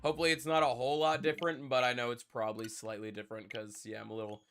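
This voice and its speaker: A man's voice: mid-range voice, little pitch movement, very fast, a bit bright, faint music behind. A young man with a congested voice, chatting casually with his live-stream viewers and rambling with repeats. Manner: rambling, repeats himself, talks to chat. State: congested from a cold.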